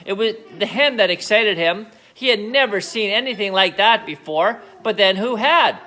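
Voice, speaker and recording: A man reading a children's book aloud as an expressive narrator. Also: sits high, moderate pace, low-quality recording. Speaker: storybook reader.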